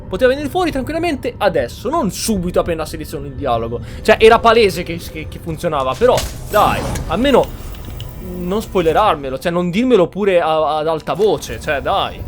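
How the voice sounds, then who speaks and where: A man's voice: sits high, big pitch swings, fairly quick, soft background music. A man giving casual, blunt game commentary, thinking aloud and swearing. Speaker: gaming streamer.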